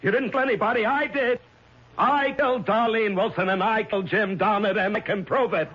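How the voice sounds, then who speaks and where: A man's voice: high-pitched, steady tone, a little fast, a bit bright. A man playing a stern, unhinged judge in a radio drama, oratorical and theatrical, on a dull old radio recording. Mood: raging. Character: stern male judge unravelling into a raging, unhinged murderer. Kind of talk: 1970s radio drama acting.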